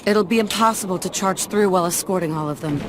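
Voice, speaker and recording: A woman's voice: on the low side, fast talker, lightly acted. A woman playing a grave, resolute warrior burdened by hidden despair in a video game cutscene. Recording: clearly noisy game audio.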